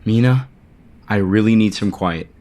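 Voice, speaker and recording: A man's voice: fairly low pitch, unhurried, warm tone, close to an everyday voice. An actor playing a stressed, reluctant businessman in an audio soap opera, speaking tersely, weary and hesitant. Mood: strained.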